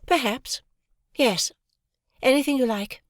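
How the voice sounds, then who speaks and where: A woman's voice: high-pitched, slow pace, dry recording, clean studio sound, pitched above the performer's own voice. A woman reading as a proud, aloof young lady. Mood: teasing. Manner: cool, teasing, detached.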